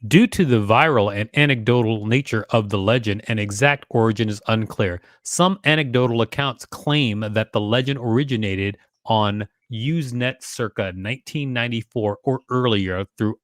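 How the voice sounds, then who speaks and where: A man's voice: on the low side, moderate pace, no room sound, studio quality. A man co-hosting a podcast, reading an article aloud and breaking in with teasing, chatty asides.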